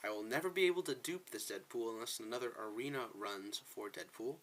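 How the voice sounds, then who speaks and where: A man's voice: mid-range voice, fairly quick. A man hosting a gaming video with casual gameplay commentary, often repeating words for emphasis.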